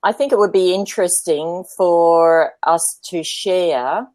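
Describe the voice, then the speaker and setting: An Australian woman hosting an informal online discussion, speaking in measured, tentative phrases. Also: on the low side, a little mellow. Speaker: host.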